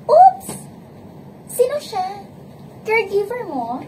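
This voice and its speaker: Shrill, swooping, snobbish Filipina socialite. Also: female voice, wildly swooping pitch, slow pace, warm tone, slight background noise, exaggerated voice, poor audio. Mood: mocking. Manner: affected, taunting, mixes in English. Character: snobbish, mocking socialite ex-girlfriend, young woman. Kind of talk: radio romance drama acting.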